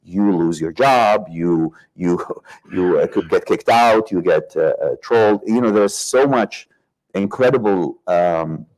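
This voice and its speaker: A man's voice: low-pitched, a little slow, a little mellow, low-quality recording. A man from Iraq speaking on a discussion panel, reflective and searching for his words as he goes. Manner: searching, reflective, circles back to rephrase.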